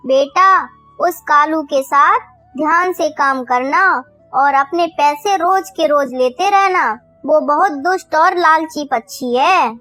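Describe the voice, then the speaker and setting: A caring cartoon mother bird, played by a woman, with a high, very bright voice. She advises slowly and carefully, over faint music. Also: no echo. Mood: caring caution. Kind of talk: Hindi children's cartoon dialogue.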